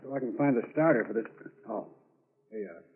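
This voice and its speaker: A man's voice: on the low side, lively intonation, quick pace, natural voice. A man playing a cool, ruthless safecracker boss, terse and commanding, in old-time radio crime drama style. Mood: urgent, bossy. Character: cool, ruthless male safecracker gang leader, adult. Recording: muffled, tinny vintage radio recording.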